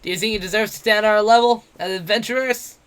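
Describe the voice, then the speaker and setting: Man doing a brash drunk wolf-man brawler, high and loud, sneering. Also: steady tone, quick pace, clear, resonant tone.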